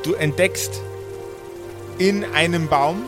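A man running a tabletop role-playing game, improvising narration in a halting, searching way and chatting casually. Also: fairly high pitch. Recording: music and rain sounds behind. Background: music, rain.

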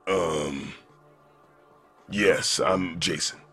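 An actor playing an irritable, curt stranger telling his tale in a comedy-horror radio play, with a raspy voice. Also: low voice, steady tone, very slow, fairly bright tone, faint music behind, exaggerated voice. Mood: guarded. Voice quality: gravelly, raspy.

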